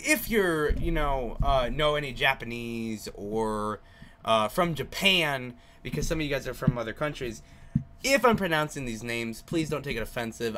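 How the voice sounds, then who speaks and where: Male gaming host, bright forceful voice, reading story text aloud, tripping on words and repeating them, chatting casually between lines. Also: medium pitch, slight background noise. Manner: stumbles over words, repeats and retries pronunciations. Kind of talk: let's play read-aloud of a visual novel with casual asides.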